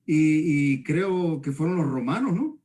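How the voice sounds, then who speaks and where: A man's voice: on the high side, expressive, measured pace, darkish tone. A man from El Salvador, a pastor teaching conversationally and often checking for agreement. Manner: didactic, often seeks agreement with tag questions. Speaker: pastor discussing the Bible.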